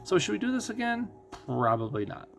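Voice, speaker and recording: A man's voice: high voice, big pitch swings, brisk, gravelly, over music. A man doing casual live commentary as a game streamer, explaining things conversationally and thinking aloud.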